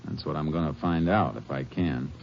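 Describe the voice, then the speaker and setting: A steady Texas Ranger with a low, calm, clipped delivery. The recording is a dull, faintly hissing old radio broadcast. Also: male voice, moderate pace, creaky. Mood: firm. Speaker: narrator and lead. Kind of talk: 1950s radio drama acting.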